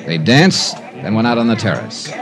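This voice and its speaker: Wry hard-boiled investigator, lively pitch. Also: male voice, moderate pace, a crowd in the background. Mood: dry, tense. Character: wry, hard-boiled male insurance investigator narrating his case. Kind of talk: 1950s radio crime drama acting. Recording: old 1950s radio recording with music.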